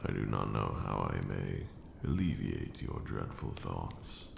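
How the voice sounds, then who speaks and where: An extremely deep, heavily creaking voice of an ancient vampire lord acted by a man, slow and formal. He is a stern but caring mentor in an intimate ASMR roleplay. The sound is echoey, with clear background noise. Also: lively intonation, heavily acted. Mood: regretful, tender. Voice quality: gravelly, very deep. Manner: formal, archaic, measured phrasing. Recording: roomy echo with audible background noise.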